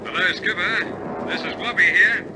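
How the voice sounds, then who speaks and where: A man's voice: high voice, unhurried. A man in an old radio drama playing a cheerful, obedient wireless operator aboard a bomber. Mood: pleased, reporting. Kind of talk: 1950s radio drama acting. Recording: old radio recording, loud aircraft engine noise.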